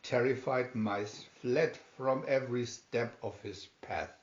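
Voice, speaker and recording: Slow, echoey male storybook reader. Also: mid-range voice, steady tone. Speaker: reader of children's picture booklets. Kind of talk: storybook reading, scripted narration. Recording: dull, echoey home recording.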